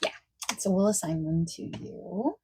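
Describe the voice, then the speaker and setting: A woman playing a friendly, accommodating party planner in a softspoken ASMR roleplay, chatting casually and reassuringly, at times nearly whispering. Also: fairly low pitch, lively intonation. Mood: reassuring, helpful. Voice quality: soft-spoken, breaking into near-whispers. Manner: casual, reassuring, chatty, pausing for unheard replies. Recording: faint noise, keyboard typing and clicking.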